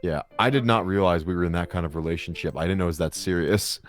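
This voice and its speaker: Jeune homme, voix grave et basse, conteur cru et décousu. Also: male voice, fast, soft background music. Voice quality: deep voice. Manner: crude, rambling storyteller who swears a lot. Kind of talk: casual comedic chat.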